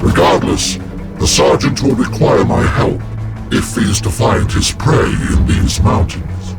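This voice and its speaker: Portentous warrior-seer over loud music. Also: male voice, mid-range voice, a little slow, gravelly. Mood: ominous. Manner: portentous, archaic, formal. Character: grave mystical warrior-seer in a dreadnought, male, devout. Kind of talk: dramatic video game acting. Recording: loud cinematic music behind the voice.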